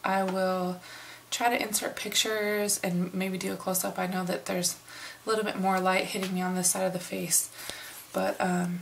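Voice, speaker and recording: A middle-aged woman from the United States giving a casual makeup review in an unhurried, chatty way, hoarse and sniffling from a cold. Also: mid-range voice, little pitch movement, a little hiss or hum. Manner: unhurried, chatty, many fillers. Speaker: beauty vlogger.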